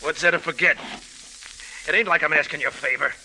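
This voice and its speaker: A stubborn wounded prospector, piercingly bright. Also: male voice, fairly high pitch, quick pace, low-quality recording. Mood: feverish, insistent. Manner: rough slangy talk, bitter and insistent. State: weak from wounds. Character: wounded, gold-obsessed, stubborn male prospector. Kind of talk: 1940s-50s radio drama acting. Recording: hissy old radio recording.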